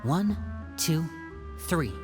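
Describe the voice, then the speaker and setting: A smooth teenage schemer, low for a female voice and very animated. He talks in a coaxing, theatrical game-dialogue style, with music behind him. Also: drawn-out words, full character voice. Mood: conspiratorial, earnest. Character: smooth-talking scheming teenage boy magician, big brother. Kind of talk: video game voice acting.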